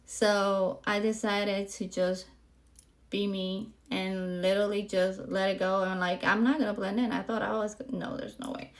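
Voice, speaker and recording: A woman in her forties from Puerto Rico talks straight to her listeners as a podcast host. Her voice has a slight gravelly fry at mid pitch, and she speaks candidly, with plenty of ums and likes. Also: level tone, measured pace. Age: 40s. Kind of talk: casual monologue to camera.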